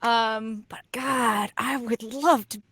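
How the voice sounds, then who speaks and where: A woman with a fairly high voice talks casually as a panel guest. The recording is dry, free of echo. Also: steady tone, slow, fairly bright tone, slightly rough.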